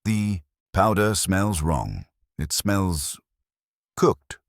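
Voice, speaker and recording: A man's voice: low-pitched, very animated, very slow, creaky, dry recording, clean studio sound, pitched above the performer's own voice, heavily acted. A man voicing a prim, indignant household chef in an audiobook, clipped and formal.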